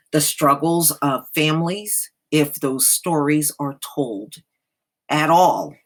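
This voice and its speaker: A woman's voice: a bass voice, husky. An American woman hosting, reading an article aloud and adding plain-spoken casual commentary.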